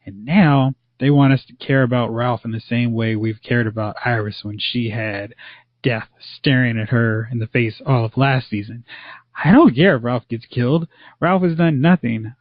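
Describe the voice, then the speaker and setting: A man's voice: medium pitch, no room sound. A man hosting a podcast in casual chat, opinionated and complaining with occasional sighs. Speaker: podcast host. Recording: dry, dull lo-fi audio.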